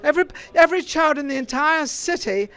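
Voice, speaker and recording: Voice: sits high, expressive, a little fast, fairly bright tone. Teasing talkative red carpet host. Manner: talkative and teasing, jokes with guests. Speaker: host and interviewer. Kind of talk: chatty red carpet interview. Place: indoor red carpet event.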